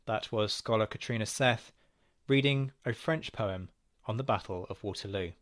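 A man's voice: fairly low pitch, normal speed, a bit bright, dry recording. Dry-sounding man reading a podcast outro. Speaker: podcast announcer. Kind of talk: scripted outro narration.

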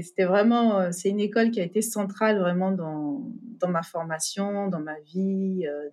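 A woman's voice: medium pitch, darkish tone. A middle-aged woman, a guest in a remote interview, talking in a hesitant way with many fillers and restarts. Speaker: podcast guest, entrepreneur. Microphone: remote call.

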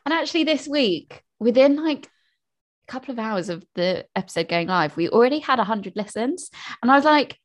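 A woman's voice: a little high, animated, normal speed. A woman, co-hosting a podcast in upbeat, agreeable casual chat. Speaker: podcast co-host.

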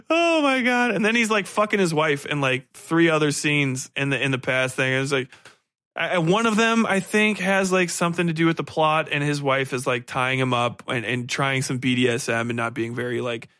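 A man's voice: a little high, moderate pace, bright, forceful tone, no room sound, clean studio sound. A man hosting a book podcast, rambling through a casual review in blunt, irreverent, profanity-heavy slang. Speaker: podcast host reviewing books.

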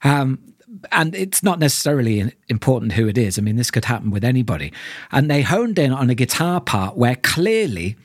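A man's voice: medium pitch, big pitch swings, brisk, no room sound. Thoughtful man, dry close sound. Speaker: podcast co-host. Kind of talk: reflective discussion of audio drama.

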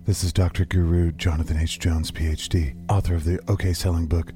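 A man voicing a pompous self-help guru delivering a self-important parody infomercial pitch. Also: little pitch movement, a little fast, dark tone, close to an everyday voice. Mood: smug salesmanship. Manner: smooth-selling, self-important, jargon-heavy. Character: pompous self-help guru selling dubious spiritual camps. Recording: music behind the voice.

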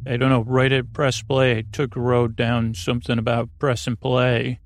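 A man hosting a bedtime podcast in a creaky voice, meandering gently with hesitations, self-interruptions and a silly, lulling manner. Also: medium pitch, little pitch movement, normal speed. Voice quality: creaky, gravelly. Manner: soothing, lulling. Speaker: podcast host. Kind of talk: rambling bedtime podcast monologue.

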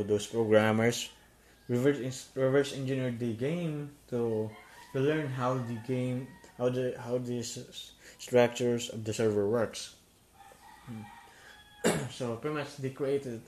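Young male vlogger, mellow warm voice, halting casual storytelling. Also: medium pitch. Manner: halting, many restarts and fillers. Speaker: gaming YouTuber.

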